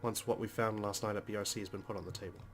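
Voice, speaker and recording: A man reads a game's first-person story aloud. His voice is fairly low and stays within a narrow range, with prominent music behind it. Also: flat delivery, rapid-fire, fairly bright tone. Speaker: let's play host reading the game's text. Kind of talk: dramatic reading of first-person story narration. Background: game music.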